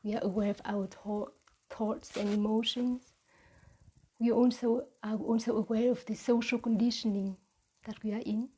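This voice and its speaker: A woman with a Vietnamese accent giving a reflective mindfulness talk as a meditation teacher, with halting, deliberate phrasing. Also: a little high, level tone, mellow, breathy edge.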